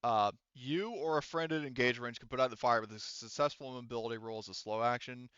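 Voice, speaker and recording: Guy game mastering a tabletop rpg, bright clear voice, casual n sweary, dry sound. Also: medium pitch, fairly even intonation, a little fast, dry recording, highs cut off. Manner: casual, profane. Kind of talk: running a tabletop game, casual rules talk.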